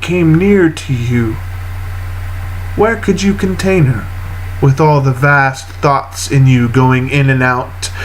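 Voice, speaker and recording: A man reading poetry aloud in a solemn, literary style, with deliberate, measured phrasing and frequent pauses. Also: fairly high pitch, a little hiss or hum, poor audio. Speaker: poetry reader.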